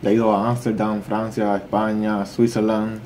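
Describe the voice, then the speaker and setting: A young man with a mixed Puerto Rican and Dominican accent, answering interview questions in a shy, modest, soft-spoken way. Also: fairly low pitch, flat delivery, normal speed, slight background noise. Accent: Puerto Rican and Dominican mix. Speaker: interview guest. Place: small studio with brick-look wall. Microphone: desk boom mic.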